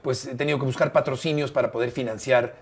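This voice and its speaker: Interview guest: older Mexican man, mid-pitched, unhurried, recalls stories with many "eh" pauses. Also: steady tone, slightly rough. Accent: Mexican Spanish. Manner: measured, fills pauses with "eh", repeats phrases. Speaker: interview guest, broadcaster and bullfight writer. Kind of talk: relaxed conversational storytelling.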